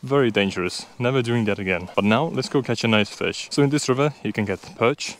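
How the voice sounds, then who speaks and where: A man's voice: medium pitch, fairly quick, a little hiss or hum. A young man, chatting candidly to viewers as he fishes along a riverbank, recorded on a body-worn action camera outdoors. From Estonia. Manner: chatty and candid, talks to viewers while fishing. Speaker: fishing vlogger. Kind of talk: casual outdoor vlog chat.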